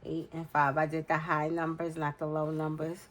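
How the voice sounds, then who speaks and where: A woman's voice: deep voice, monotone, moderate pace, a little hiss or hum. A woman in her forties with a cold and a nasal voice, talking casually and unhurriedly as she fills in budget challenges, counting aloud under her breath. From United States. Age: 40s. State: congested from a cold. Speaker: budgeting vlogger. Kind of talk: casual talk while filling budget challenges.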